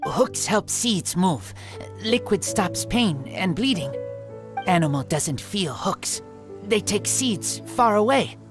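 Game role: feral wolf-raised youth, earnest, slow broken English, music behind. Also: male voice, sits high, very animated, full character voice. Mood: matter-of-fact. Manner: speaks in clipped broken English. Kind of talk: video game character acting.

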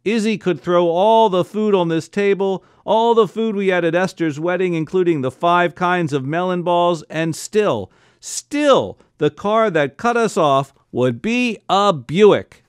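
A man's voice: sits high, animated, a little slow, hardly any pauses, smooth, no echo, studio quality, voice pushed up. A man voicing an argumentative, taunting uncle in a comic story, with emphatic declamation. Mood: defiant.

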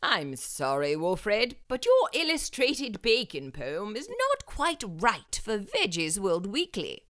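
A woman voicing a kindly, encouraging male bat headmaster in a storybook, with a slow, grand delivery. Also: medium pitch, huge pitch swings, fairly bright tone, dry recording, clean studio sound, heavily acted. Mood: gently regretful.

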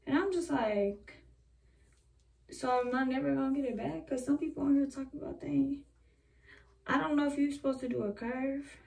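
Young adult woman vlogger, rambling casual chat, slightly high voice, picked up from a few feet away by the camera. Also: normal speed, vocal fry, pauses often, darkish tone. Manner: rambling, chatty, talks while styling her hair. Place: small bedroom indoors. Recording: voice a bit far from the mic.

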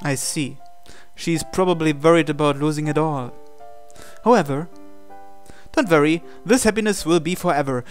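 Let's play host dubbing a visual novel hero; unhurried, mid-pitched, plain. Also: soft background music. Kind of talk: reading visual novel lines aloud in English.

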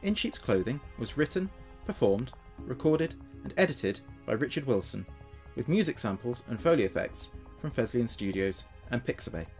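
A man reads a scripted podcast announcement in a medium pitch. His intonation is lively and varied, and faint music plays behind him. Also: moderate pace. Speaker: podcast presenter.

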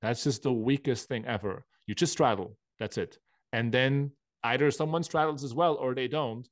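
A man's voice: mid-range voice, normal speed, dry recording, studio quality. A man, a guest on a podcast, giving direct, assertive advice in casual interview talk. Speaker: podcast guest, poker player.

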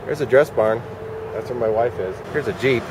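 A man's voice: mid-range voice, normal speed. A man in his forties giving dry, rambling commentary to a handheld camera outside a shopping center. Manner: dry, wry running commentary, muttered asides. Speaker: vlogger. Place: outdoors at a shopping center parking lot. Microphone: handheld camera at arm's length. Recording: handheld camera outdoors with traffic noise. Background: traffic and outdoor ambience.

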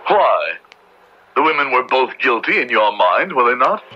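An actor playing a fanatical, merciless Puritan judge in an old radio drama, declaiming in pompous, archaic preacher's speech. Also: male voice, mid-range voice, sing-song, moderate pace. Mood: stern, cold. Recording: noisy, dull old radio drama recording.

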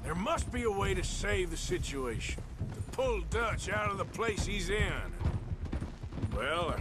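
A man playing a world-weary, loyal American outlaw with a rough drawl in a western game. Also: high voice, lively intonation, a little slow. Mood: weary, subdued. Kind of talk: cinematic western game dialogue. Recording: dramatic music bed underneath.

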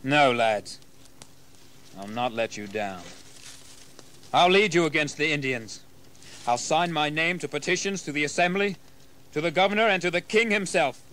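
An actor playing a young colonial rebel leader in an audio history drama, impassioned and declamatory. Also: male voice, fairly high pitch, normal speed, long pauses, pushed and bright. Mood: solemn, resolute.

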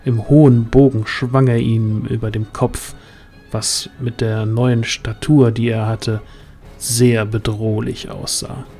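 A man narrates a scripted fantasy audio drama. His pitch sits in the middle range, and music plays faintly behind him. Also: level tone, slow, warm tone.

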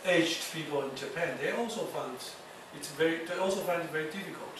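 A man giving a university lecture, speaking haltingly with many fillers and restarts. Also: fairly high pitch. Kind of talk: classroom lecture. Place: lecture hall. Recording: echoey lecture hall, distant mic, noisy.

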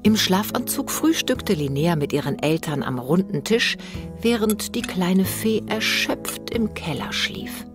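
A woman narrating a scripted children's bedtime story in an expressive read-aloud manner. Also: on the low side, measured pace, over music.

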